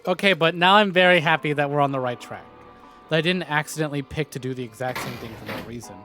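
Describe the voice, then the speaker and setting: A man's voice: on the high side, fast talker, pushed and bright. A man streaming a visual novel, reading dialogue aloud and commenting in a playful, theatrical, sarcastic way. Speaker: game streamer. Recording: music behind the voice.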